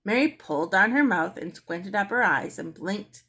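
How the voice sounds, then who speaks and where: A woman reading a children's book aloud as an expressive storyteller. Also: medium pitch, normal speed, breathy edge. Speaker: storybook reader.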